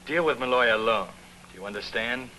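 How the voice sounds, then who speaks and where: An actor playing a snooty, sophisticated villain in a 1950s TV crime drama, with an affected mid-Atlantic accent and a commanding manner. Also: male voice, mid-range voice, fairly quick, sharp tone, close to an everyday voice, rough recording. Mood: stern. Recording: old 1950s TV soundtrack with music.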